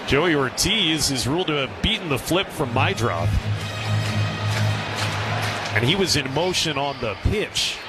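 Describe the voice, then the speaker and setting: A man with a fairly high voice calls a baseball game for radio. His delivery is clipped and descriptive, lifting with the action, and there is clear sound like music behind him. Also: a little slow, long pauses. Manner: clipped descriptive calls that rise in excitement on plays. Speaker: baseball play-by-play announcer. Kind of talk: radio sports commentary.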